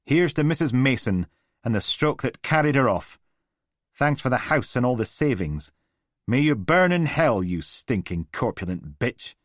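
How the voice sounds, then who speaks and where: A drunken, brutal man snarls with a cruel edge and bursts into raging shouts, as a male narrator puts him on. The voice sits low when it simmers and climbs high when it roars. It sounds close and dry. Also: expressive, moderate pace, no room sound. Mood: gloating. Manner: slurring menace rising to bellowing rage.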